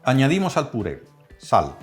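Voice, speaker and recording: Man, lively cooking narration. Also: medium pitch, moderate pace, faint music behind. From Netherlands. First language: Dutch. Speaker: cooking channel host. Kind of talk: cooking tutorial voice-over.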